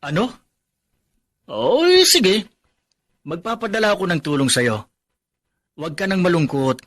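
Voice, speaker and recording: A man's voice: sits high, big pitch swings, unhurried, a bit bright, dry recording, clean studio sound, heavily acted. An actor playing a tenderhearted, hardworking Filipino husband and father in an earnest, emotional radio drama. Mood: kindly, concerned.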